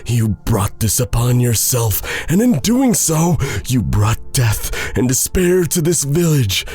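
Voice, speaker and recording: A man acts out a stern, thunderous village judge from a dark fairy tale. His voice is raised well above a storyteller's register and swings dramatically as he condemns with righteous force. Soft music plays underneath. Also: very animated, takes it slowly. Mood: grave condemnation. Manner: booming, declamatory, pronouncing each phrase with force.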